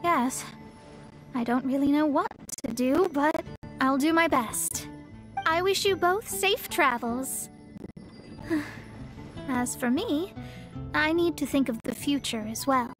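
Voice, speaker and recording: Proud young woman astrologer, high voice, earnest, formal, easily flustered, anime game dialogue. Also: animated, slow pace, pauses often, music in the background. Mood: earnest, apologetic. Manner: formal, self-assured, hesitant when embarrassed. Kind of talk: anime video game voice acting.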